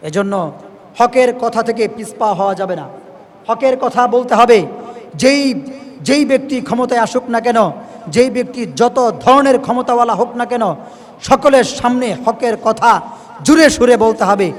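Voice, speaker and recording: A man's voice: extremely high pitch, a little slow. A man preaching an emphatic, exhorting Islamic sermon, repeating phrases for effect. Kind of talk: impassioned sermon to listeners. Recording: long hall reverb on voice.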